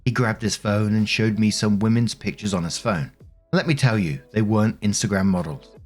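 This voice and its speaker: A man's voice: lowish voice, moderate pace, faint music behind. A man narrating online posts, reading steadily and adding brief casual asides. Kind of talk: reading posts aloud with commentary.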